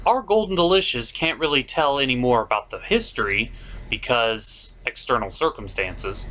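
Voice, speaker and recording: A man's voice: medium pitch, sharp tone. A man narrating a scripted, comedic episode review in a theatrical way. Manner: theatrical, swings from dry recap to gushing. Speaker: reviewer, show host.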